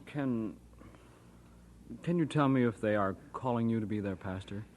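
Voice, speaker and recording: An actor playing a worried church parishioner in a radio drama, talking in a halting, formal, uneasy way. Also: male voice, fairly low pitch, a little mellow, lightly acted. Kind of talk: old-time radio drama acting. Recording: noisy vintage radio transcription.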